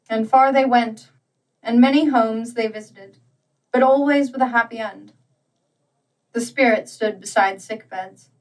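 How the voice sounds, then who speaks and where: Slow, measured woman reading a classic story. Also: on the high side, far from the mic, poor audio. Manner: measured, deliberate phrasing. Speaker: audiobook narrator. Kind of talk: dramatic literary storybook reading.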